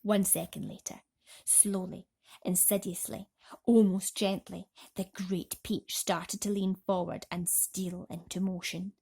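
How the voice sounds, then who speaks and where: A woman narrating a children's bedtime story as an expressive, dramatic storyteller. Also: medium pitch, measured pace, creaky, husky.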